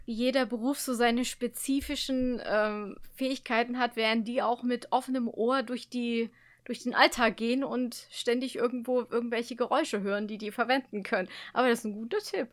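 A woman's voice: on the high side, fairly even intonation, normal speed. A woman hosting a casual radio interview in a conversational style.

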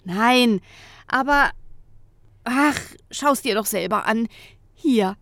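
A woman's voice: on the high side, slow pace, fairly bright tone, no room sound. A woman playing a grumpy, lovesick young modern witch in a comic audio drama, exasperated and protesting. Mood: reluctant, sheepish.

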